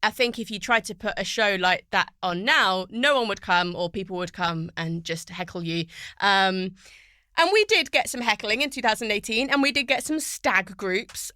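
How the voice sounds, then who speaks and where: A woman talks on a podcast in a recording studio, and her voice is bright and forceful with a smooth, resonant clarity. she tells her story fluently and reflectively. the sound is dry and close, with no room echo. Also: fairly high pitch. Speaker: podcast guest, poet and TV writer. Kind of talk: casual podcast conversation.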